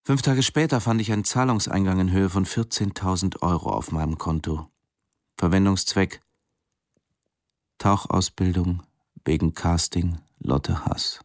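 Low, sober man as weary narrator. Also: unhurried, dry recording, clean studio sound. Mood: sombre. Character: sombre, weary male diving instructor telling his story. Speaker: first-person narrator. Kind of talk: radio drama narration and dialogue.

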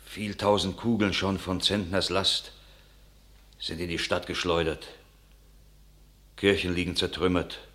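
A man's voice: low-pitched, little pitch movement, unhurried, long pauses, a bit bright. An actor playing an earnest country man who recounts grim war news with dramatic force in classic radio drama verse. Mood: alarmed.

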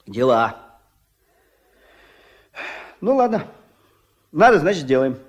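An actor playing a proud, blunt itinerant builder in a radio play, speaking colloquially and with self-assurance. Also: male voice, fairly high pitch, sing-song, slow. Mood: wistful, stubborn. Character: proud, stubborn, plain-spoken itinerant dam builder, working man. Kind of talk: Soviet radio play acting.

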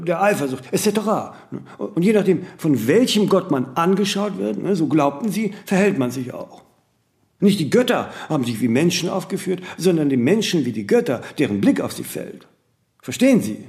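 A man's voice: on the high side, a little mellow. A man playing a vain, disciplined, eccentric celebrity in a radio play, talking in flowing, emphatic, self-correcting monologues peppered with little tag questions. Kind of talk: radio play dialogue, conversational interview answers.

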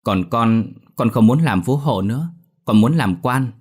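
A man voicing a cheeky, scheming village boy who brags loudly. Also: medium pitch, expressive, measured pace, slightly rough, voice pushed up. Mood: bold bragging.

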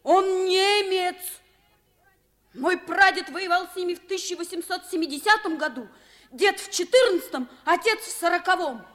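An actress playing an earnest, excitable and naive young boy in a 1950s radio drama. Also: extremely high pitch, moderate pace. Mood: indignant, eager.

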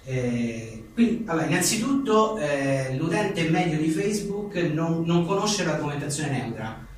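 Echoey, rambling man, higher-pitched voice. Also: measured pace. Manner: animated, rambling, acting out imagined exchanges. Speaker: journalist and author being interviewed. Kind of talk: informal book presentation interview. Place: echoey indoor venue at a live event. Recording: very echoey room, distant mic, faint noise.

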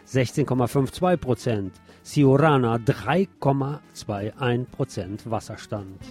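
A man's voice: mid-range voice, a little mellow. A man presenting a scripted radio news report, adding the odd personal aside.

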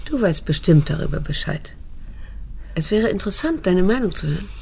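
An actress playing a reserved, middle-aged daughter who questions pointedly and with control, in a creaky-voiced radio drama performance. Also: female voice, on the low side, animated, a little fast, darkish tone, exaggerated voice. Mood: insistent, cool. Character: grown daughter, reserved, probing, coolly determined.

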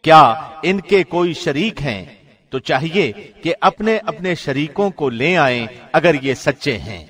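Solemn man reading scripture, slightly high voice. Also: lively intonation, fairly bright tone, slightly rough. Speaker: translation reader, professional radio host.

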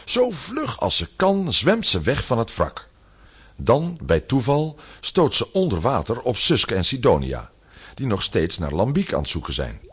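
A man narrating a children's radio play from a script, with a husky voice and animated storytelling. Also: mid-range voice, measured pace.

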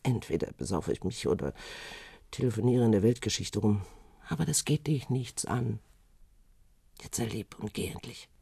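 A bitter, proud wife and lawyer in a radio play. Her voice is husky and gravelly, swinging dramatically between murmur and sharp command. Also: rumbling low, expressive, normal speed, dry recording, full character voice. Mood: weary, dismissive.

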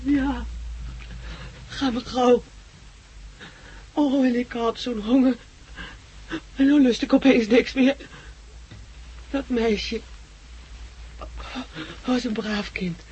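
A woman's voice: a little high, fairly even intonation, very slow, long pauses, darkish tone, slightly rough, lo-fi sound, heavily acted. An actress playing a desperate, starving, sick woman who pleads insistently, in 1960s Dutch radio drama style. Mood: weak, resigned. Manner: insistent, pleading, repeating demands. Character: desperate starving blind survivor woman, weak and ill. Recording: noisy vintage 1969 radio recording.

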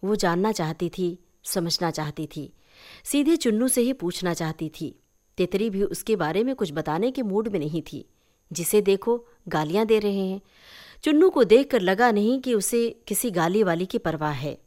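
A woman reading a literary short story aloud as an expressive, scripted narration. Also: medium pitch, normal speed. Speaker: story reader.

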